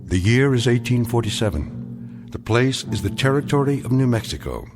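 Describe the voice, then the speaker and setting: A man acting as a grave, enigmatic storyteller, giving measured, portentous narration for a radio drama. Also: mid-range voice, expressive, natural voice. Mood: grave, ominous. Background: music.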